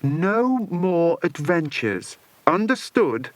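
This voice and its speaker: A stern, mocking mother from a children's adventure audiobook, played by a male reader. The voice is lifted in pitch and crisp, moving about expressively in a dry recording. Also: big pitch swings, slow pace, no echo.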